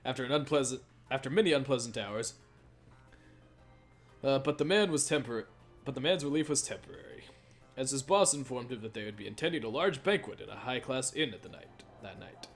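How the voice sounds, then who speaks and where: A man reads game dialogue aloud in a casual, halting way, tripping on phrases and starting them again. His voice is of medium pitch, with music playing faintly behind it. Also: lively intonation. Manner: halting, stumbles and repeats phrases while reading. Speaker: let's play host reading a visual novel aloud.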